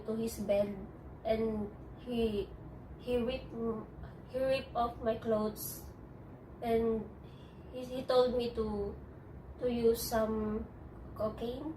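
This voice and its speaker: Halting Filipino-accented woman, distant mic. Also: on the high side, noisy background. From Philippines. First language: Tagalog. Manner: halting, hesitant, many restarts. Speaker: interviewee. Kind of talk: personal interview. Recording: echoey room, distant mic.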